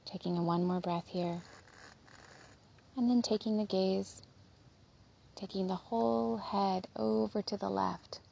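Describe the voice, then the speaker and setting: A woman leading a guided body scan meditation in a gentle, soothing, unhurried manner, with a soft, breathy, slightly husky voice. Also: mid-range voice, fairly even intonation, highs cut off.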